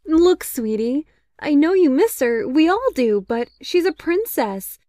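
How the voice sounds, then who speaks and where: Woman playing a gentle cartoon mom, middle-aged, consoling, high voice, dry recording. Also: sing-song, unhurried, no room sound. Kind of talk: cartoon comic dub acting.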